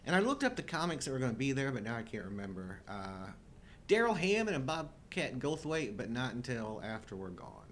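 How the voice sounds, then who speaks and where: A middle-aged man co-hosts a casual live show. His pitch moves around in an animated way as he banters with playful, wry humor. A low rumble and some noise sit behind his voice. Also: a little fast.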